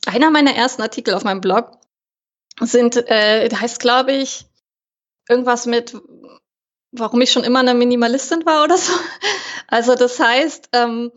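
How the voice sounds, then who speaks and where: A woman's voice: a little high, normal speed, long pauses. A young woman from Germany, a podcast guest chatting casually with many hesitations and fillers. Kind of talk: casual interview chat. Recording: dull lo-fi sound.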